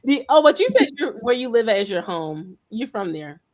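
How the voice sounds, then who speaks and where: A woman hosting a casual group conversation, telling stories in a chatty way and acting out the dialogue, with relaxed Southern-leaning grammar. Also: fairly high pitch, normal speed. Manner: chatty storyteller, acts out dialogue. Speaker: host.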